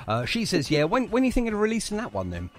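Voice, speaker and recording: A man's voice: high-pitched, huge pitch swings, very fast. A man hosting a chatty music radio interview in a jokey, effusive way with slightly hesitant phrasing. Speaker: radio show host.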